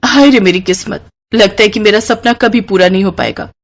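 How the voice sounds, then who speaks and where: A woman's voice: mid-range voice, a little fast, natural voice. A woman voicing a meek, hopeful young daughter-in-law in a cartoon moral story, with an earnest, deferential way of talking. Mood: bitter, self-pitying.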